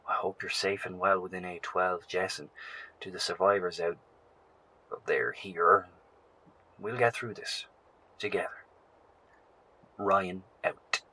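Low-voiced, reflective male survivor. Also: fairly even intonation, pushed and bright, a little hiss or hum, exaggerated voice, poor audio. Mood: tender, hopeful. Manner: reflective, measured, descriptive. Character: earnest male post-apocalyptic survivor and radio broadcaster. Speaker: survivor recording a field log. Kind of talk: horror audio drama monologue.

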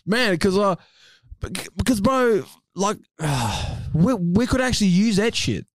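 A man co-hosting a podcast, telling excited stories with plenty of slang and swearing. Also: high-pitched, slow, dry recording. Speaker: podcast co-host. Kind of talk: casual podcast chat.

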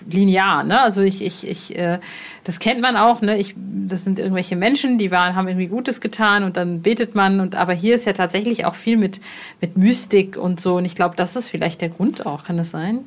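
A woman's voice: medium pitch, a little fast. A woman interviewing in a casual, conversational way, feeling her way through sentences with repeated words.

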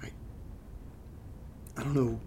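An actor playing a troubled young man recording a halting, confessional audio diary for a horror drama, his voice slightly congested. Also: mellow, a little hiss or hum, close to an everyday voice. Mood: uneasy, wry.

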